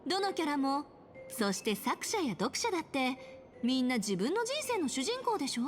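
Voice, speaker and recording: A bubbly young anime girl from a video game, theatrical and cutesy. Her voice is high and swings in pitch, with clear music playing behind it. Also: sing-song, very fast. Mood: dramatic, moved. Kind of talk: Japanese anime game voice acting.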